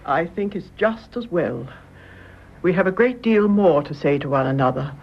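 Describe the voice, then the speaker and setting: A woman's voice: on the low side, lively intonation, normal speed. An actress playing a composed, commanding older British mother, the lady of the house, in a radio drama. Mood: calm, insistent. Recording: dull, noisy radio drama recording.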